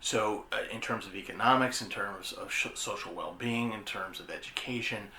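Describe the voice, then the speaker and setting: Bright, forceful man explaining history in echoey room. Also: lowish voice, moderate pace. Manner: conversational, emphatic, repeats phrases for stress. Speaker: host of an anime history show. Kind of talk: informal history explainer lecture. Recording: roomy echo with faint background noise.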